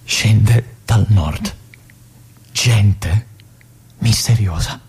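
An actor playing a restless young army lieutenant in a theatrical monologue, with an intense, halting delivery that moves between hushed and urgent. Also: male voice, fairly low pitch, breathy edge, full character voice. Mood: hushed, tense. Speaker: stage actor performing a monologue.

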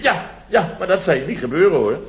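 A man's voice: on the high side, very animated, a little fast. An actor playing a boastful, indignant contractor in his fifties in a radio comedy, repeating himself and exclaiming self-importantly. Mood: exasperated. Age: 50s. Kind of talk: 1970s radio comedy acting. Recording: dull vintage radio recording.

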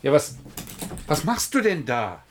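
An actor playing an exasperated, probing husband in a radio drama, with a rough, husky voice. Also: male voice, a little high, sing-song, unhurried, fairly bright tone, slight background noise.

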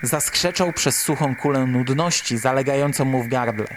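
A man's voice: mid-range voice, moderate pace, noisy background. A man reading a scripted horror story as the narrator of a radio drama. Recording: voice under loud music. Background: loud music.

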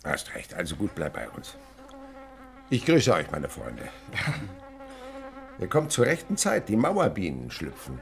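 An actor playing an impassioned, commanding French naturalist in a radio play, exclaiming and lecturing with emphatic pauses. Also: male voice, sits low, huge pitch swings, creaky, exaggerated voice. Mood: eager, brisk. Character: impassioned male French insect researcher, commanding, curious, mentor to villagers. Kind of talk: German radio play acting.

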